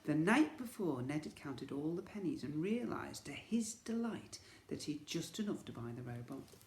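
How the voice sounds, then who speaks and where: A man reading a children's story as a teacher would, with dramatic, expressive narration. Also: fairly high pitch, normal speed, slight background noise. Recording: echoey room.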